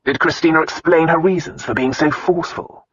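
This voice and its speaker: A man's voice: fairly high pitch, a little fast, slightly rough. A man doing an impatient, commanding nobleman. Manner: barking orders, drawling. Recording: slightly muffled dry recording.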